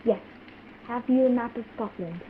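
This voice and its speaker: A woman playing a frightened, secretive spy in an old-time radio play, halting and dramatic. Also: a little high, lightly acted. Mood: uneasy. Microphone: video call. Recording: muffled, noisy video call audio.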